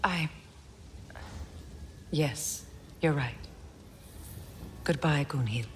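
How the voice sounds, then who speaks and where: Regal middle-aged goddess, lowish voice, measured, wistful, earnest, cinematic game drama, faint ambience behind. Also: female voice, slight background noise. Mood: gentle, earnest. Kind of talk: cinematic video game acting.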